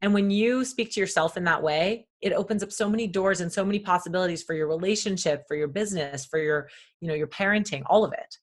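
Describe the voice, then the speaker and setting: Loud emphatic woman guest, slightly low voice, lots of yeps and absolutelys. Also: quick pace. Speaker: podcast guest. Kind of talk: conversational wellness talk.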